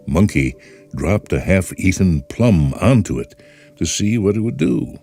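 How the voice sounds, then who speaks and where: Deep, dark, expressive male story narrator with faint music behind. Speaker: host and narrator.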